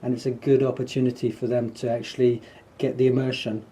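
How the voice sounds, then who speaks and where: Relaxed chatty man with a dark voice. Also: mid-range voice, quick pace, a little hiss or hum. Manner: relaxed, chatty, lively when making a point. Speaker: English teacher and school founder. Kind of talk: casual radio interview. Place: radio studio. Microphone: studio microphone.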